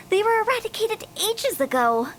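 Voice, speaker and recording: A woman's voice: extremely high pitch, slight background noise, exaggerated voice, poor audio. A woman playing a breezy, self-assured young funeral parlor director in a video game. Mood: teasing, frank. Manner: breezy, teasing, confident. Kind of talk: scripted game voice acting. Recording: music behind the voice.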